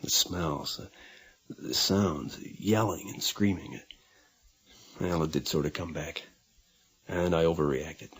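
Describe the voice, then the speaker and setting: A man's voice: a bass voice, slow pace, long pauses, husky, full character voice, poor audio. An actor playing an anxious, troubled American father in a radio drama, hesitant and defensive in his speech. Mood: defensive, uneasy. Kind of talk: naturalistic radio drama acting.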